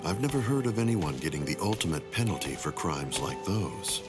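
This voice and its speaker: An actor playing a composed, courteous captain in an anime game, speaking in a measured, polite and probing way. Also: male voice, low-pitched, expressive, over loud music. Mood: grave, concerned. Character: composed, courteous, mild-mannered male captain. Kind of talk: English-dubbed anime game dialogue.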